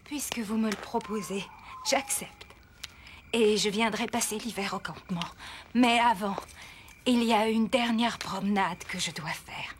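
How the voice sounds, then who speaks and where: A woman playing a frail, kind, wistful old woman in a French cartoon, with a soft voice that turns breathy at times. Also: mid-range voice, measured pace, background noise, natural voice. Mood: weary, gentle. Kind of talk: French animated series dubbing.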